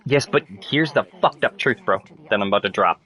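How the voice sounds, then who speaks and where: A young man who grew up in French Canada with French as his first language, ranting casually to friends in a fast, rambling way full of fillers and swearing. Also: on the low side, a bit bright. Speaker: streamer chatting with friends.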